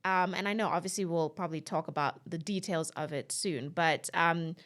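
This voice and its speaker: A woman's voice: on the low side, normal speed, a bit bright. Chatty woman in her late thirties. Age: 30s. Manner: chatty, lots of "you know" fillers and light laughs. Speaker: podcast guest and content creator. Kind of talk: casual podcast conversation.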